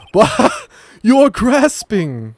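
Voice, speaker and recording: A man's voice: very high voice, voice pushed up, heavily acted. A man voicing a flamboyant crooked businessman in an amateur game dub, theatrical, squawking, panicky and contemptuous. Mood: scornful.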